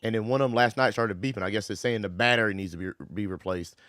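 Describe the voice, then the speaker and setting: A man hosting a casual livestream chat, voice hoarse from a cold, talking in a relaxed, rambling way. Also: fairly low pitch, fast talker, no room sound. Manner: relaxed, rambling, Southern-inflected "y'all". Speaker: livestream host.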